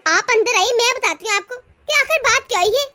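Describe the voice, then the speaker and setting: Lady doing a feisty cartoon bahu, sharp and defiant, really high-pitched. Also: animated, a little fast, exaggerated voice. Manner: defiant, scolding. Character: bold young daughter-in-law, feisty and protective.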